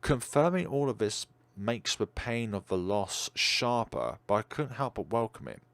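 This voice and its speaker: A man's voice: fairly low pitch, big pitch swings, unhurried, a bit bright, no echo. A man reading a horror visual novel aloud in a dramatic narrating style, as a let's player.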